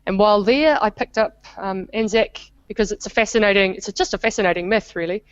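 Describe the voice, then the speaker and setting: New Zealand woman, smooth and dry. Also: medium pitch, dry recording, highs cut off. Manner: measured, explanatory, with small restarts. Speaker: academic guest. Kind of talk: interview answers.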